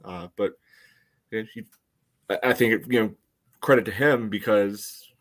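Lowish voiced guy cohosting a sports pod, measured casual analysis, says you know a lot. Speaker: sports podcast co-host.

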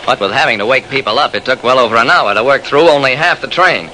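An actor playing a brisk, methodical railroad detective who narrates his case in an emphatic old-time radio mystery style. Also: medium pitch, sharp tone, natural voice. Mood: probing, brisk. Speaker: narrator and lead investigator. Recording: noisy old radio drama recording.

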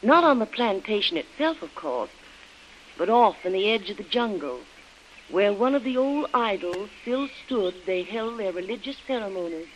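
An actress playing a composed, earnest plantation wife in 1930s radio style, speaking in measured phrases. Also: female voice, mid-range voice, animated, natural voice. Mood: grave, recounting. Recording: dull, noisy old radio recording.

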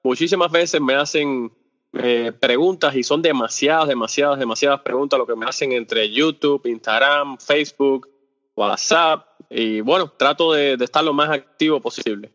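Cuban man, hesitant and halting, echoey. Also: mid-range voice, fairly bright tone. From Cuba. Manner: hesitant, searches for words with many fillers and restarts. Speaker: podcast guest, off-road video creator. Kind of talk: casual interview talk. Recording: dull lo-fi sound with long reverb.

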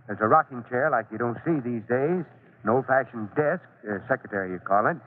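Actor as a folksy fire chief, tinny old radio. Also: male voice, medium pitch, expressive, brisk, a little hiss or hum, natural voice. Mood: genial, easygoing. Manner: avuncular, folksy, with small hesitations. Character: kindly, fatherly fire chief, gruffly friendly. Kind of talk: old-time radio drama acting.

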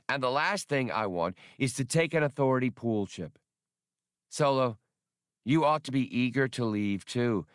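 A man voicing a self-assured, businesslike woman in an audiobook, brisk and chiding. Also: fairly low pitch, no echo, studio quality, lightly acted.

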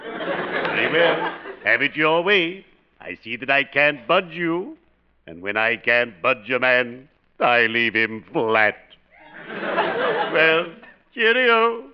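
An actor playing a lugubrious, mock-genteel undertaker with a slow, pompous, mournful delivery in a 1940s radio comedy. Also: male voice, mid-range voice, big pitch swings, a crowd in the background, noisy background. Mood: droll, mournful. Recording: muffled old radio broadcast.

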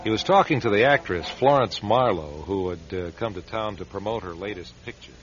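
A man acts a wistful American friend telling a story in a radio drama. He is low-pitched, halting and confiding, with wry asides. Music plays clearly behind the slightly muffled recording. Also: close to an everyday voice. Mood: wry, reminiscing. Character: wistful, wry man narrating his charismatic friend's rise. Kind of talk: radio drama first-person narration.

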